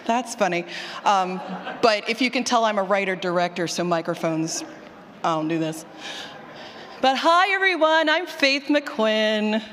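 A woman presents informally at a conference session through a handheld mic. Her mid-pitched voice rings with long hall reverb. She is chatty, often cutting herself off for joking asides. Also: animated, a little slow, fairly bright tone, a little hiss or hum. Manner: chatty, self-interrupting, jokey asides. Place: large room with an audience. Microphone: handheld microphone.

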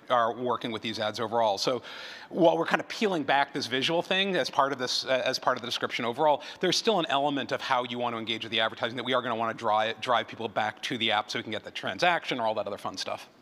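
Fast, bright-voiced man in a hall. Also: medium pitch. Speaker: panel speaker from an audio platform. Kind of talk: conference Q&A answers. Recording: hall reverb.

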